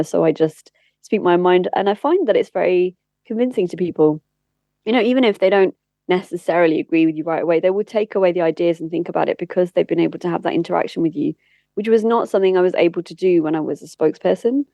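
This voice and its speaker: A woman's voice: lowish voice, mellow, no room sound. A woman speaking candidly and earnestly as an interview guest, explaining things in a conversational way. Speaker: interview guest, campaigner and former spokesperson.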